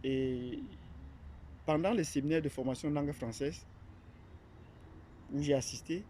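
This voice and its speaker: A man from Cameroon speaks in a mellow, rather dark voice, unscripted and searching for words. He pauses often, fills gaps and restarts his sentences. A faint hiss sits under the recording. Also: a little high. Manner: hesitant, many fillers and restarts. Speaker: academic presenting his platform. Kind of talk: unscripted monologue.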